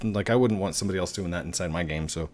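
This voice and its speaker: A man's voice: low-pitched, very fast, a little mellow, soft background music. Chatty man in his thirties, headset. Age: 30s. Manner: rambling, self-mocking, chatty. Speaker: gaming YouTuber. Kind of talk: casual gameplay commentary. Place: home room at a desk.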